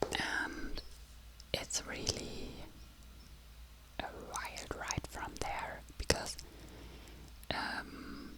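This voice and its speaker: Casting: ASMR book reviewer, soft breathy whisper throughout, unhurried and hesitant, feeling for words. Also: low-quality recording. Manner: halting, searching for words in a non-native language.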